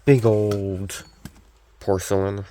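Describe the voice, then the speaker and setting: A young man with a scratchy, gravelly voice from a recent illness, giving a casual, unhurried, off-the-cuff show-and-tell as a hobbyist. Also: on the low side, flat delivery, dark tone. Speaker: hobbyist collector showing restored radio.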